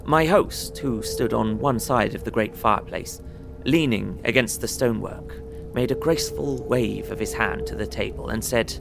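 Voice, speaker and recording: A man playing an earnest young solicitor, narrating his travel diary in a measured, descriptive way for an audio drama. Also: mid-range voice, vocal fry, lightly acted. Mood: relieved, measured. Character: young English solicitor recounting his journey, earnest, observant. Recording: prominent music under voice. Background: music.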